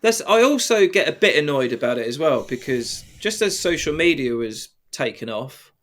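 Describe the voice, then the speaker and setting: A man's voice: a little high, very animated, moderate pace, a bit bright. A British man chatting casually as a podcast guest, telling self-deprecating anecdotes in an informal way. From Britain. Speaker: podcast guest, professional mountain biker. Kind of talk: casual interview chat.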